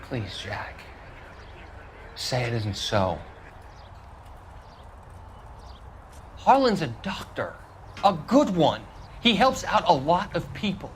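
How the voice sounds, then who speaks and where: Game drama role: naive veteran, halting and pleading, higher-set voice, slow pace, faint noise behind. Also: male voice, wildly swooping pitch, exaggerated voice. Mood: distraught, pleading. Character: earnest, naive war veteran pleading with his old comrade. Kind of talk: dramatic video game acting.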